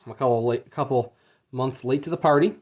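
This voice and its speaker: A man talks through an unboxing in a casual, unscripted way. His delivery is understated and a little hesitant, with a mildly let-down tone. His voice sits at an average pitch. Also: fairly quick, a little mellow. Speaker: book unboxing reviewer. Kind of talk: casual unscripted product review.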